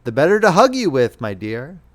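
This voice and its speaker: A man's voice: fairly high pitch, very animated, brisk. A man voicing a wicked, sly wolf in a fairy tale reading, coaxing and falsely polite. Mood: sly, disguised. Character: wicked sly wolf, feigning friendliness and a grandmother's voice.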